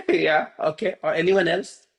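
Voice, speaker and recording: Man from India, Hindi teacher, video call, rambling with fillers, fairly high voice. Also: slow pace, creaky. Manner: rambling, many fillers like "you know". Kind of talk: online class teaching.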